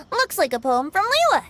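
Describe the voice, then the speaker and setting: A woman's voice: extremely high pitch, noisy background, exaggerated voice. A woman playing a cheerful, gracious young contest host in an anime game, with a theatrical presenting manner. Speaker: quiz host.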